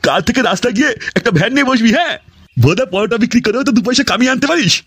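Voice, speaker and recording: Grumpy cartoon dad, played by a man: extremely high voice, fast crude scolding, close dry sound. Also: animated, fairly bright tone, husky, no echo, full character voice. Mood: angry scolding. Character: grumpy foul-mouthed father berating his idle son. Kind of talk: exaggerated comic cartoon dialogue.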